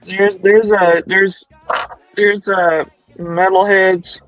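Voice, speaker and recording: A man in his thirties chatting on a stream about his toy collection, excited and rambling with lots of restarts. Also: high-pitched, very slow, muffled recording. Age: 30s. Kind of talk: casual chat.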